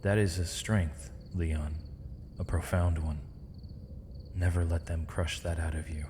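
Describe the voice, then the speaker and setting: A man playing a gentle, weary, eloquent imprisoned mage in an ASMR roleplay, speaking in measured, formal, poetic phrases with thoughtful pauses. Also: low voice, steady tone, noisy background. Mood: earnest, hopeful. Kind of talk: ASMR roleplay, intimate dramatic monologue. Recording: long reverb, faint night insect ambience.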